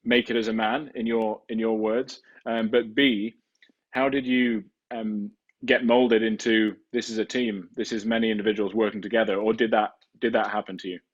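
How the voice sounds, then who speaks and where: A man's voice: fairly low pitch, level tone, moderate pace, muffled recording. A man hosting a podcast interview, asking long, hesitant questions full of restarts. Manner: hesitant, many fillers and restarts. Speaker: podcast host. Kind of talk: conversational interviewing.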